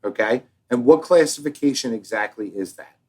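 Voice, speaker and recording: A man hosting a casual interview, animated and often prompting the others with questions and interjections. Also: medium pitch, normal speed. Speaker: podcast host.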